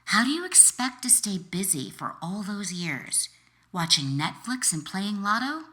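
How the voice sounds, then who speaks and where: A woman author reading her self-help book aloud as narration, speaking directly to the listener in deliberate, encouraging phrases with pauses. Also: mid-range voice, big pitch swings, sharp tone. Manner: direct second-person address, encouraging. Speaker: author reading an excerpt from their own book.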